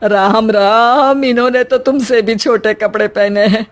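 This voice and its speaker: A woman playing a traditional Indian household elder in an animated story, exasperated and moralizing. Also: on the high side, a little slow, close to an everyday voice. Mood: scandalized.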